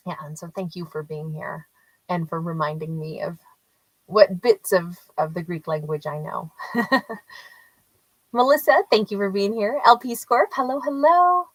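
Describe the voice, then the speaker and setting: A woman's voice: fairly low pitch, no echo, rough recording. A chatty woman tarot storyteller who talks casually with live viewers and laughs often. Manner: rambling with frequent restarts and fillers. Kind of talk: casual livestream reading and chat with viewers.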